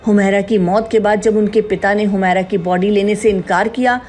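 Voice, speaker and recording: A woman's voice: mid-range voice, fairly even intonation, normal speed, darkish tone. A woman reading a scripted, dramatic news narration. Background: background music.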